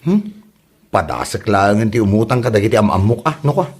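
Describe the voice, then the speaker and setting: A man's voice: lowish voice, very animated, fairly quick, a little mellow, breathy edge. A man acting a boastful, cash-strapped village candidate in an Ilocano comedy drama, bragging and wheedling. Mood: worried.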